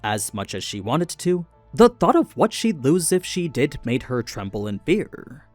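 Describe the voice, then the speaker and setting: A man's voice: medium pitch, very animated, unhurried, no room sound. A man reading a scripted story recap in an explanatory storytelling manner, stressing the turns in the tale. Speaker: narrator of anime lore recaps. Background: background music.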